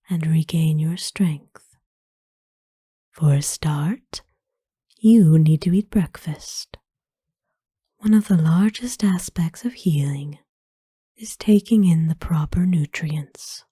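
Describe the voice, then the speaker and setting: A woman playing a kind, doting vampire in an ASMR roleplay, speaking in a gentle, formal, soothing way, mostly in whispers. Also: on the low side, breathy edge, no echo, clean studio sound, full character voice. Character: kind, doting female vampire owner of a human.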